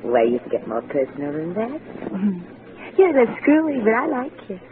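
A woman's voice: medium pitch, wildly swooping pitch, brisk. An actress playing a brassy, flirty yet guarded showgirl, slangy and coy, in 1940s radio drama style. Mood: coy, teasing. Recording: muffled phone-like vintage radio recording, music behind.

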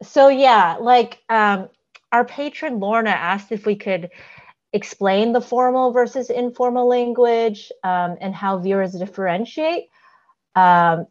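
A woman's voice: mid-range voice, highs cut off. A woman co-hosting a podcast, explaining things carefully in casual chat, with frequent "um"s and a giggly manner. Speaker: podcast co-host.

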